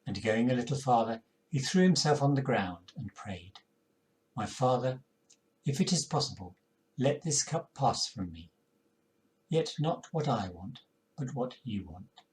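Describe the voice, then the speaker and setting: A man's voice: mid-range voice, expressive, measured pace, a little mellow, rough recording. Older man reading scripture solemnly. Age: older, 60s or 70s. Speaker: congregation member reading scripture. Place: living room at home, indoors.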